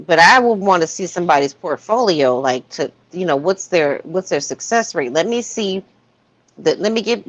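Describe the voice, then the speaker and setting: A woman's voice: low-pitched, no room sound, muffled recording. An American woman in a casual panel discussion, talking in a frank, opinionated way.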